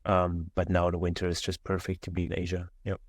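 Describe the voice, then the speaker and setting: A young German-accented man talks easily on a video call, his voice a little low and dry. He wanders through his answers with plenty of "um" and "like", restarting as he goes. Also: a little fast, no echo. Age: 20s. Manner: relaxed, many fillers and restarts. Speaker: guest entrepreneur. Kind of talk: casual interview chat. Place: indoor room.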